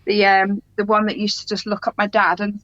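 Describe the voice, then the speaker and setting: A woman's voice: medium pitch, completely flat delivery, brisk, a bit bright, no echo, highs cut off. A middle-aged woman, chatting as a guest in a rambling, laughing way. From English Midlands. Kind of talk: casual conversation.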